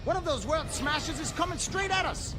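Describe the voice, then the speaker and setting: A man's voice: very high voice, brisk. A man playing a tense rebel starship officer under fire in an audio drama. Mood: alarmed. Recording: dramatic music and battle effects behind.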